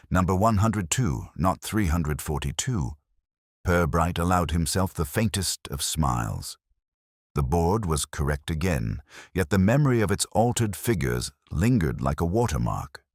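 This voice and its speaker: A man with a very deep, husky voice narrates a detective story. His delivery is measured and literary, with animated rises and falls, recorded dry and close. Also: no room sound.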